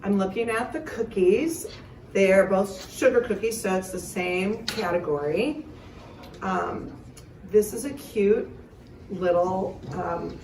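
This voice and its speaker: A woman judging casually, thinking aloud in a halting way. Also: mid-range voice, a little mellow. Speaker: guest cookie judge. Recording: echoey room, distant mic, faint noise.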